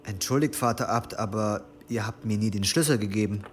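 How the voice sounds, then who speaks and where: A man's voice: fairly low pitch, a little fast, soft background music, close to an everyday voice. An actor playing an earnest monk who serves his abbot, respectful and a little hesitant, in an audio drama. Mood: deferential. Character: deferential, earnest young monk serving the abbot. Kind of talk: German audio drama acting.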